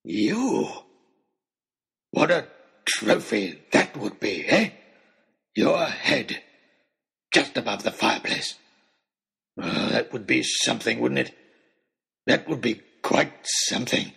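A wild, half-mad brute of a man from a storybook, acted by a male reader. The voice is husky and swings widely in pitch, full of bluster and menace. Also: big pitch swings, slow, long pauses, pushed and bright, full character voice. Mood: gloating menace.

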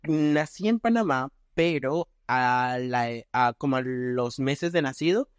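A young man with a Venezuelan accent hosts a relaxed podcast conversation. He speaks in a mid-range voice and keeps stopping to restart his phrases. The sound is dry and close, with no room echo. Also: medium pitch, smooth. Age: 20s. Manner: halting, many restarts and fillers. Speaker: podcast host. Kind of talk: casual podcast chat.

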